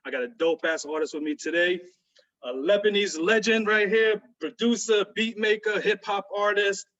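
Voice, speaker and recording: A man's voice: high-pitched, fairly even intonation, normal speed, a bit bright. A man hosting an interview over a video call, hyped and slangy, calling his guest "my brother". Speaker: interview host. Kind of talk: casual interview. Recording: dull lo-fi video call audio.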